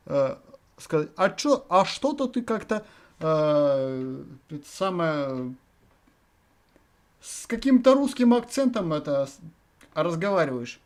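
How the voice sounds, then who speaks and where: A man's voice: a little high, very animated, measured pace, darkish tone. Rambling man from Russia chatting on camera. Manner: rambling, hesitant, lots of filler words. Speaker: video chat vlogger. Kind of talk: casual thinking aloud to viewers.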